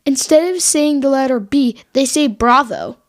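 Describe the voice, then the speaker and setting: A woman's voice: sits high, moderate pace. A woman co-hosting a scripted educational podcast made for children. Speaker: podcast co-host.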